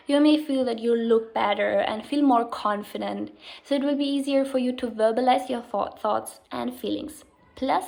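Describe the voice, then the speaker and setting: Woman with a gravelly edge. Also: on the high side, darkish tone. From Austria. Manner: earnest, explanatory, with small restarts. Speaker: health practitioner. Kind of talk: informal talk to camera on women's health.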